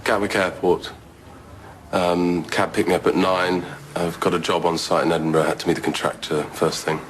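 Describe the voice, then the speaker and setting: A man's voice: low-pitched, level tone, moderate pace, fairly bright tone, slight background noise, rough recording. A man playing a weary, bitter man in his forties in an audio drama, curt and sardonic. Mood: weary, defensive. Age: 40s.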